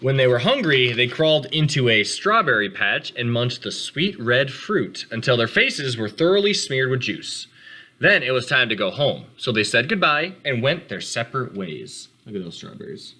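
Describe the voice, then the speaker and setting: A man reads a picture book aloud to children. His delivery is expressive, with big swings in pitch, and his tone is bright. He speaks to the listeners directly in casual asides. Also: normal speed. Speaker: read-aloud host for children.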